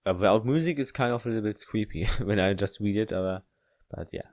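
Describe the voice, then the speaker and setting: A man doing a casual playthrough, reading a visual novel's text aloud in a halting way and stumbling over the written lines. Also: fairly low pitch, darkish tone, no room sound. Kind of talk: casual read-aloud game commentary. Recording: music behind the voice.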